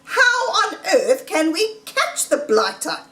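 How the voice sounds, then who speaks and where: A woman's voice: high-pitched, huge pitch swings, slow, bright, forceful tone, voice pushed up, exaggerated voice. A woman voicing a mean, blustering, angry fat male farmer in a children's storybook. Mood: furious, exasperated. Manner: blustering, exclaiming. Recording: roomy echo, distant microphone.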